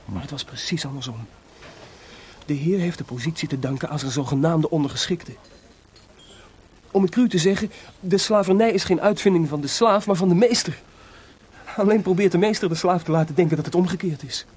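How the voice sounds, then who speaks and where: An actor playing a feverishly excited, sleepless and proud male composer in a radio drama, confiding and rhetorical. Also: fairly high pitch, fairly quick, breathy edge. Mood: earnest, explaining.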